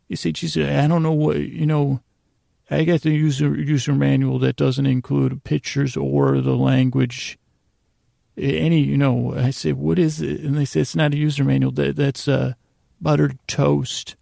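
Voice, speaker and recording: A man hosting a soothing bedtime podcast in a lulling, meandering monologue, halting with odd pauses and repeated words. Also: mid-range voice, flat delivery, dark tone, no room sound, studio quality. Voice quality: lulling, creaky drone. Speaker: podcast host.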